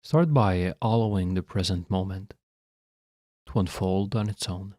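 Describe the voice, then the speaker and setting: A man leading a guided meditation as a guide, speaking in a calm, measured, instructional way with long pauses. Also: sits low, dry recording, clean studio sound.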